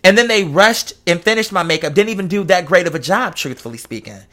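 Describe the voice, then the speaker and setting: A man's voice: sits high, animated, fast talker, pushed and bright. A man in a casual, chatty monologue, speaking in a sassy, campy, slangy and emphatic way.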